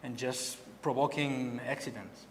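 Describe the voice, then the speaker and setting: Man, Spanish accent from Barcelona, halting speech, repeated words, echoing gallery, faint room hum. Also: mid-range voice, fairly even intonation. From Spain. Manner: halting, repeats words while searching in English. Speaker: painter. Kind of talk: gallery artist talk. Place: gallery hall. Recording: long hall reverb, faint ambience.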